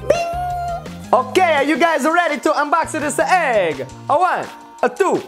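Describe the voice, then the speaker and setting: Excitable cartoon plumber, mock Italian accent, high pitch, broken grammar, playful scolding, light music underneath. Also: female voice, unhurried. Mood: excited, playful. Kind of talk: playful toy unboxing in character.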